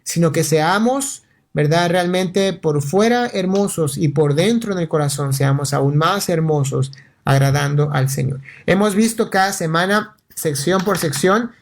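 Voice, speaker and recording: A male pastor giving a Bible teaching on the radio in an earnest, steady way, often checking in with "right?" and restarting words. Spanish is not his first language. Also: on the high side, a little slow, vocal fry. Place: radio studio.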